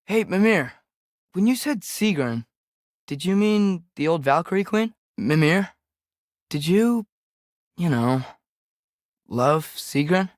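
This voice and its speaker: A man's voice: high-pitched, lively intonation, slow, pauses often, no echo, studio quality. A young male actor playing an earnest, sensitive American teenage boy adventurer in a video game, speaking with a questioning, slightly hesitant manner. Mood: curious. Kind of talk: video game character dialogue.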